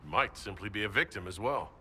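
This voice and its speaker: A man's voice: fairly low pitch, expressive, a little fast, pushed and bright, a little hiss or hum. A man playing a concerned, direct hero in a video game, asking short, plain questions. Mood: inquiring. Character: concerned, direct male hero leading a party. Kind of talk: video game character dialogue.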